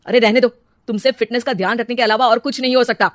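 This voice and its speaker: A woman's voice: a little high, fairly even intonation, quick pace, dry recording, studio quality, close to an everyday voice. Woman putting on a blunt young husband. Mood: annoyed. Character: irritable young husband, grumbling about food. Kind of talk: cartoon family drama acting.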